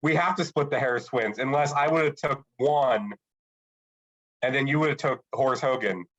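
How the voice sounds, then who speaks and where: A man co-hosting a casual podcast who is getting over a cold and sounds stuffy. He talks things through thoughtfully at an ordinary pitch. The recording is slightly dull and lo-fi. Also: moderate pace, a bit bright. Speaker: podcast co-host.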